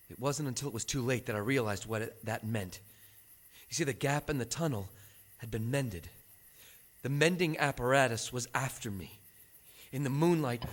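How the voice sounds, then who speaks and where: An actor playing a haunted, defiant adult son in a live radio drama, telling his story intensely and haltingly. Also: mid-range voice, close to an everyday voice. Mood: subdued, haunted. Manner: halting, repetitive, intense recounting. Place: stage before a studio audience.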